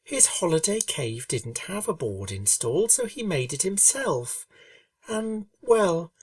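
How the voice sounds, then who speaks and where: A woman voicing a gentle, shy young female pegasus pony in a storybook reading, speaking softly and earnestly. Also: on the low side, sing-song, measured pace, dry recording, distant mic. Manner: soft-spoken, earnest, kindly.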